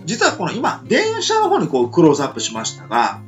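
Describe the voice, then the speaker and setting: A man co-hosting a casual radio talk show, chatty and quick to agree and laugh. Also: on the high side, huge pitch swings, faint music behind. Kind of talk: casual chat.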